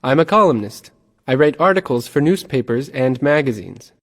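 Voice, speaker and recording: Man, scripted lesson dialogue reader, plain and even, mid-pitched. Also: normal speed, in a large hall.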